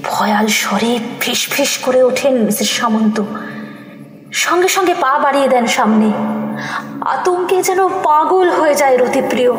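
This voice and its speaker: A woman narrating a horror audio story in an expressive, suspenseful way, sometimes dropping to a whisper. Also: sits high, normal speed. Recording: echoey reverberant studio mix with music.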